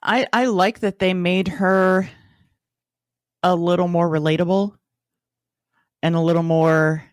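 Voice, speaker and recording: A man's voice: high voice, fairly even intonation, measured pace, smooth, no echo, clean studio sound. A man co-hosting a podcast, talking casually through a book discussion. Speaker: podcast co-host.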